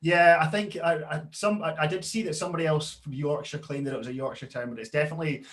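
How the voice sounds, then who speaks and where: A man, a brand co-founder, chatting in a relaxed, friendly way with easy laughs. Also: on the high side, fast. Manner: friendly, laughs easily, many fillers. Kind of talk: casual interview chat.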